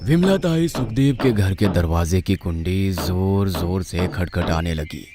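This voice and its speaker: A man's voice: deep voice, lively intonation, unhurried. A man narrating a scripted horror story in a dramatic storytelling style. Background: music.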